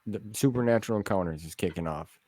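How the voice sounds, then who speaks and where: Low-voiced, supportive male interviewer. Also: fast talker, a little mellow, no echo, clean studio sound. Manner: supportive, reassuring. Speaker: interviewer, podcast host. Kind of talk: casual chat.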